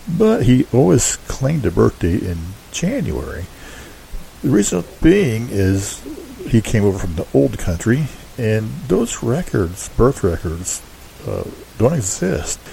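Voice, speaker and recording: Gravelly mellow guy introducing old radio shows, rambles and hedges, unscripted, bit of hiss behind him. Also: on the low side, very animated, low-quality recording. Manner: halting, rambling, hedging. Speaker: host introducing an old-time radio show. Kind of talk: casual unscripted background commentary. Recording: faint background hiss.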